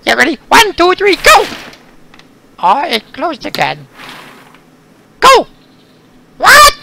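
A performer voicing an excitable, hungry, impatient pet dog in a comic skit, pleading in frantic, repeated exclamations. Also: very high-pitched, slow pace, creaky, heavily acted. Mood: excited, urging. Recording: noisy recording with loud clicks.

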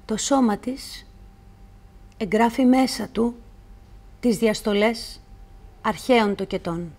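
Woman, slightly high pitch, poetry recitation, measured phrasing, deliberate pauses. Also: a little mellow. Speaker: poetry reader.

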